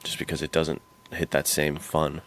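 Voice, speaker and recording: A man's voice: extremely low pitch, flat delivery, moderate pace, fairly bright tone. A man hosting a podcast, chatting casually and slangily in a laid-back way. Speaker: podcast host. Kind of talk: casual chat about western films.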